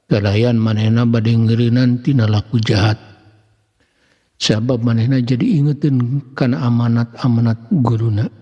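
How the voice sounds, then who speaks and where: A man with a Sundanese accent narrating a scripted dramatic tale in a formal, measured manner. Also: medium pitch, little pitch movement, lo-fi sound. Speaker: narrator of Sundanese serial tales. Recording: long hall-like reverb on voice.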